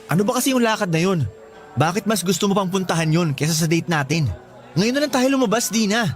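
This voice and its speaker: A man acting an earnest, expressive young student in love with his girlfriend in a Tagalog radio drama. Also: high-pitched, quick pace, soft background music. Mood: hurt, pleading. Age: young adult. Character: smitten, earnest young student suitor, pleading boyfriend.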